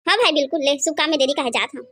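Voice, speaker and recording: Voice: sits high, very fast, pushed and bright, a little hiss or hum. A performer voicing a cheeky, idle village lad who grumbles and teases in a Chhattisgarhi accent for a comedy cartoon.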